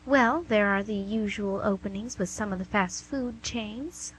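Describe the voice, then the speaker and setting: Woman as a bright-voiced, exasperated guidance counselor. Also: mid-range voice, unhurried, breathy edge, rough recording. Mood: dryly exasperated. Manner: polite, hesitant, dryly skeptical. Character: prim, exasperated female student guidance counselor. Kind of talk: amateur comedic radio play acting.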